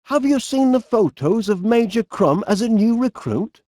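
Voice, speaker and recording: An actor playing a fussy, cheerful, absent-minded middle-aged inventor with a Northern English accent, speaking in a bumbling, polite way for an animated comedy game. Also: male voice, sits high, normal speed, no echo, clean studio sound. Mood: chatty, mildly fretful. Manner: bumbling, hesitant, polite, chatty.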